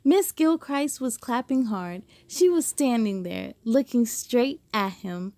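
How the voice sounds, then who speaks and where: A woman reading a children's book aloud with expressive storytelling and dramatic emphasis. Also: fairly high pitch, slow pace, no echo. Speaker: storybook reader.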